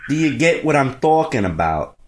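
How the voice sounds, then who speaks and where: A man's voice: medium pitch, sing-song, moderate pace, very noisy, lightly acted. Man putting on a pushy, disgruntled New Yorker. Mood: aggrieved. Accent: New York. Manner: wheedling, sweet-talking, foul-mouthed complaining. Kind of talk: improvised prank phone call.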